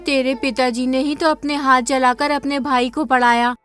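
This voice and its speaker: A man's voice: extremely high pitch, flat delivery, normal speed, a bit bright, faint music behind, voice pushed up. A man voicing a poor, weary village mother in a cartoon story who is resigned but protective of her children. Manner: resigned yet fiercely protective.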